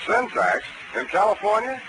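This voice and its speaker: A man's voice: high voice, wildly swooping pitch, moderate pace. An American man acting a wry, tough, flirtatious private detective in a 1940s radio drama. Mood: teasing. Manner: wry, sardonic. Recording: noisy vintage 1940s radio broadcast.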